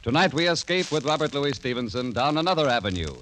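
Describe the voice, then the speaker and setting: Animated 1940s male radio announcer. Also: mid-range voice, fast talker, slight background noise. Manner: formal, theatrical announcing. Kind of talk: 1940s radio scripted narration. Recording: vintage radio broadcast recording.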